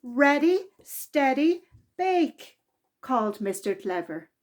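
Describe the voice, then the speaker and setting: A woman with a high voice reads a children's storybook aloud at a very unhurried pace. She narrates expressively and deliberately, stretching key words for young listeners. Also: very animated.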